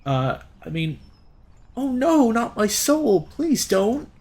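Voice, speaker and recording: A hammy, melodramatic villain acted out by a man for a visual novel. The voice is pushed high, with theatrical, drawn-out delivery and big swings in pitch. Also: big pitch swings, darkish tone, full character voice. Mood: mock pleading. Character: melodramatic villain mirror demon in amateur playacting.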